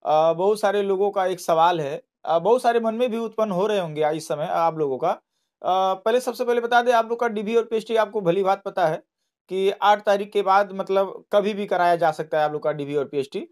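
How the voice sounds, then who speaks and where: A man's voice: high-pitched, normal speed, darkish tone. A man giving an informal explainer to students, repeating himself and reassuring them as he goes. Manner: repetitive, reassuring. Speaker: online exam-update presenter.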